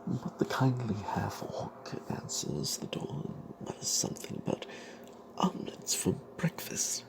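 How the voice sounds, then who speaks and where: A man narrating a fantasy tale in breathy ASMR whispers, in character as a wry, suspicious adventuring cleric, with a halting, hushed delivery. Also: mid-range voice, big pitch swings, noisy background.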